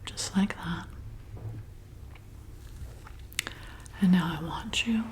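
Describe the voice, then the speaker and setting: A woman playing a flirty, commanding vampire bartender in an ASMR roleplay, softly coaxing and hypnotizing in a breathy whisper. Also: lowish voice, a little hiss or hum, lightly acted. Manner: slow, soothing, coaxing, deliberate.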